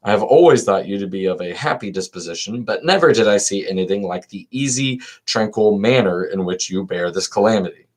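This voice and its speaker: A man's voice: on the low side, animated, brisk, hardly any pauses. A man reading the part of an anxious, devoted old Athenian friend in a philosophical dialogue, earnest and formal.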